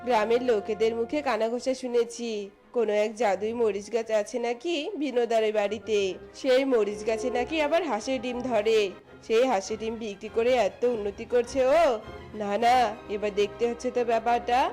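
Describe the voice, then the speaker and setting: A woman's voice: a little high, steady tone, fairly quick, warm tone, faint music behind, voice pushed down, natural voice. A woman voicing a jealous, greedy village neighbour in a cartoon fairy tale, talking in a dramatic, complaining way. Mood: bitter envy.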